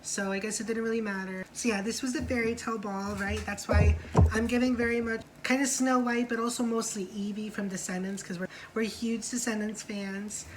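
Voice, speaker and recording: A woman's voice: medium pitch, steady tone, brisk, noisy background. A woman hosting a casual review vlog, chatting in a slangy way and often checking for agreement. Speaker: vlog host reviewing a TV show.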